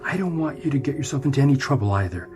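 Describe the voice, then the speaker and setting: A male actor's stern, protective father from a radio drama. He speaks firmly at a middle pitch with animated inflection, gruff authority, and faint music underneath. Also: very fast, close to an everyday voice. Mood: stern, threatening.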